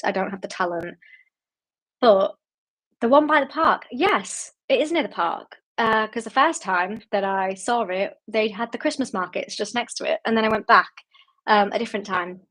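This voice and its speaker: A woman's voice: mid-range voice, fairly bright tone. A woman hosting an online book club, chatting casually and reading out viewer comments with lots of laughs and fillers, sounding tired late in the evening. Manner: chatty and giggly, with frequent fillers.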